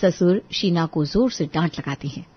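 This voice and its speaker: A woman's voice: fairly low pitch, moderate pace, slightly rough. Woman narrating a story, slightly muffled. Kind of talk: scripted Hindi story narration. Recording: dull, lo-fi audio.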